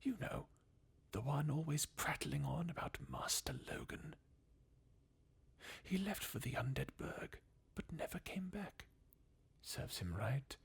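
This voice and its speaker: Fan actor as crestfallen undead game warrior: slow, swinging intonation, breathy, bleakly mocking. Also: medium pitch, expressive, heavily acted. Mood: sardonic, resigned. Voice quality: breathy, husky half-whisper with creak. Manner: sardonic, weary, chuckling bleakly. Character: jaded, mocking undead warrior, sardonic and defeatist. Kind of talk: fan redub of video game dialogue, ASMR-like.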